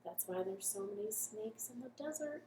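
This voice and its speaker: A woman's voice: mid-range voice, animated, moderate pace, warm tone, natural voice. A woman voicing a sly, clever desert snake with a coy, knowing way of talking, in a roomy echo.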